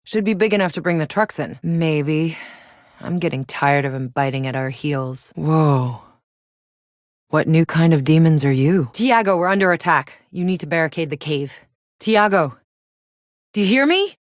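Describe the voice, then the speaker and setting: An actress voices a battle-hardened young female fighter from a sci-fi shooter game. She is sardonic and gritty, quick with profanity and dry wit. The sound is tight and studio-dry, with no room around the voice. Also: low-pitched, no echo, lightly acted. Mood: urgent. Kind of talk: video game character voice lines.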